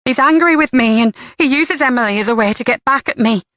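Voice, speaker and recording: A woman voicing a defensive, brash mother who talks in a quick, snappish jumble. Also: fairly high pitch, a bit bright, dry recording, clean studio sound, pitched above the performer's own voice, natural voice. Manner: defensive, rapid jumbled talk.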